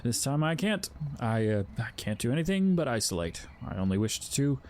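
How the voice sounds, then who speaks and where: An actor playing a solemn, anxious guardian spirit of fear, speaking formally and haltingly in an audio drama. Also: male voice, medium pitch, big pitch swings, a little mellow, a little hiss or hum, lightly acted. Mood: mournful, apologetic. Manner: formal, halting, stilted wording. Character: Fear, solemn, anxious male emotion spirit, self-sacrificing protector.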